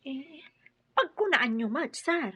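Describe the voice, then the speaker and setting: An actress playing an inquisitive household helper, questioning but respectful, in an audio drama. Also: female voice, sits high, lively intonation, slow, bright, forceful tone, exaggerated voice. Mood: doubtful.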